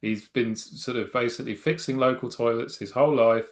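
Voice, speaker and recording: A man's voice: mid-range voice, steady tone, fairly quick. A middle-aged man speaking as a political science guest, giving thoughtful, wry analysis with frequent restarts and fillers. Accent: non-Mancunian English. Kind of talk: conversational expert analysis.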